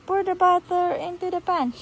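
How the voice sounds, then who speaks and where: Mother reading to her toddler: very high female voice, unhurried, animated sing-song delivery, some background noise. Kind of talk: children's picture-book read-aloud.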